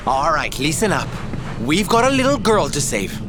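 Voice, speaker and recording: An actor voicing a flamboyant, tender-hearted adventurer with a theatrical delivery in a video game. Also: male voice, on the high side, background noise. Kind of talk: video game cutscene acting. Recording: loud game music underneath. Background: loud background music.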